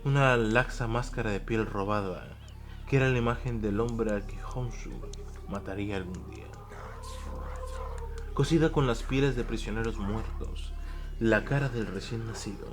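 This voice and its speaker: A man giving solemn, deliberate scripted narration of a dark audio drama. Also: on the low side. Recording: clear noise and ambience behind voice.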